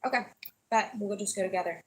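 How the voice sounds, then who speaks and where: A young woman chatting casually with her mother, quick and offhand. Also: medium pitch, fairly even intonation, breathy edge. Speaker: host's daughter. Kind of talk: casual family conversation.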